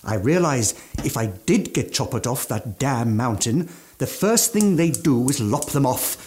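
An actor playing an eccentric, aging gourmand mountaineer with ghoulish relish and fussy, old-fashioned genteel speech in a radio drama. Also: male voice, mid-range voice, expressive, measured pace, a little mellow, a little hiss or hum, lightly acted. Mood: matter-of-fact, ghoulish. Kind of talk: theatrical radio drama monologue.

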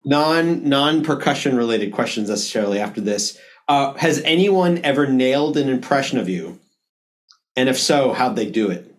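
A man's voice: mid-range voice, expressive, moderate pace. A man hosting a casual interview, often breaking off and restarting his sentences. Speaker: podcast host.

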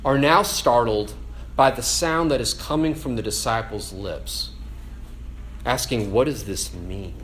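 A man preaching a casual, conversational sermon, animated and full of "like" and "right?". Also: medium pitch, unhurried, a little hiss or hum.

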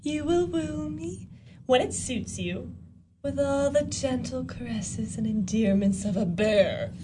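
Coquettish young village belle, played by a woman: high, lively, unhurried, teasing radio play delivery. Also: background noise. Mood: challenging, arch. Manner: teasing, giggly, arch. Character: coquettish, spirited young village lady with suitors.

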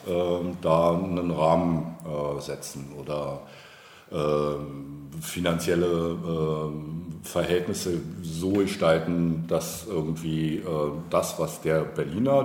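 A man's voice: rumbling low, a little mellow. A man being interviewed as a politician, speaking conversationally, hesitantly and wordily as he searches for words. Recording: roomy, echoing, with background noise.

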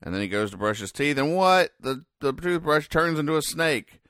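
A man hosting a podcast, recapping and explaining in a casual, deadpan, mock-serious way with halting, hesitant delivery. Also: a little high, no room sound, clean studio sound. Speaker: podcast host. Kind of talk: casual comic-strip recap commentary.

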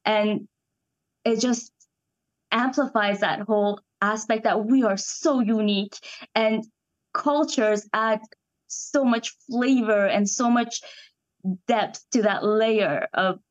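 A woman's voice: on the high side, steady tone, slow pace, pauses often, highs cut off. A young woman from Iran, raised in Canada, speaking as an actress in an interview, thoughtful and enthusiastic. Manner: enthusiastic, thoughtful, searching for words. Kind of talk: reflective interview conversation.

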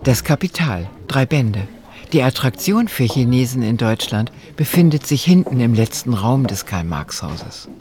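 A man's voice: medium pitch, big pitch swings, a little slow, darkish tone. A man reading scripted radio feature narration in a wry, storytelling manner. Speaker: documentary narrator.

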